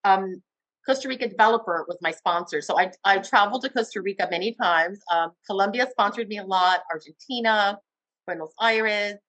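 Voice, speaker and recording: A woman from Cuba, a television host, telling her story in an interview with an enthusiastic, animated manner. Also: medium pitch, a little fast, no room sound, poor audio. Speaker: TV host guest. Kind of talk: interview conversation.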